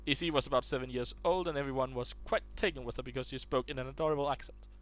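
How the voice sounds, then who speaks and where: A man's voice: mid-range voice, fairly even intonation, racing, a bit bright. A man reading online posts aloud in a casual way, stumbling and restarting words as he goes.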